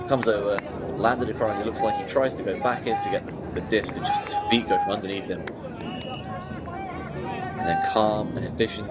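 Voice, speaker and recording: A man giving live play-by-play commentary on a match, steady and informative as he reads each move. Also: lowish voice, heavy background noise. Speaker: sports commentator. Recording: loud crowd behind commentary.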